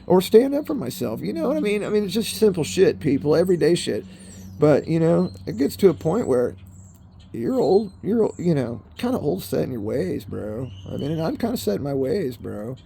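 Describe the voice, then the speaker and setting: A man's voice: fairly high pitch, very animated, moderate pace, vocal fry, warm tone, slight background noise. A man in his fifties rambling in a casual monologue, swearing often and calling the viewers bro and people. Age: 50s. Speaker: vlogger. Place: in a car.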